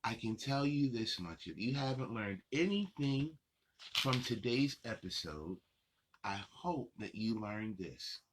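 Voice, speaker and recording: A man hosting a children's story time, talking straight to young children in simple, repeated, encouraging phrases, with a gravelly, husky voice. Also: mid-range voice, big pitch swings, unhurried, fairly bright tone, a little hiss or hum. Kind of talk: addressing young children directly.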